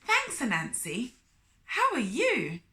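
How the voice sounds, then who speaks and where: A woman's voice: sits high, wildly swooping pitch, takes it slowly, sharp tone, reverberant, heavily acted. A woman voicing a meek, polite male turtle in a storybook, hesitant and apologetic. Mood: friendly.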